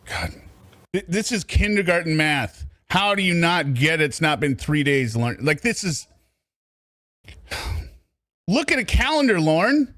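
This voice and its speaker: A man's voice: a little high, very animated, unhurried, pauses often, a bit bright. A man hosting reaction commentary on a recorded call, sounding exasperated and sarcastic. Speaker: commentary host.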